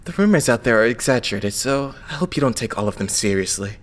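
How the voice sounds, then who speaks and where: Man voicing a husky, gentle young idol. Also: mid-range voice, expressive, quick pace, natural voice. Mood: polite, modest. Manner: courteous, self-effacing. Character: gentle, modest, devout young male idol. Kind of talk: anime-style fandub voice acting.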